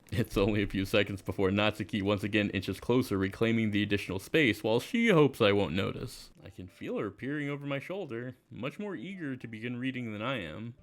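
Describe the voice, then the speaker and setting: A man as an understated, awkward schoolboy narrator. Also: lowish voice. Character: awkward, mild high school boy narrating his story. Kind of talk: first-person visual novel narration and dialogue.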